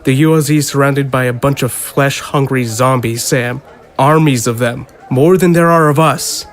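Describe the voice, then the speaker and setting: A man's voice: medium pitch, fairly even intonation, moderate pace, soft background music, lightly acted. An actor playing a cocky, proud young male soldier in a conversational audio drama. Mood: matter-of-fact, teasing.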